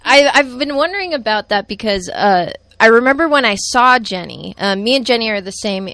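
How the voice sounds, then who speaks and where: A woman's voice: a little high, animated, brisk, fairly bright tone, no echo. A woman in her twenties chattily recounting how she met her partner, in a casual conversation. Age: 20s. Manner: chatty storyteller, many fillers. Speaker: guest.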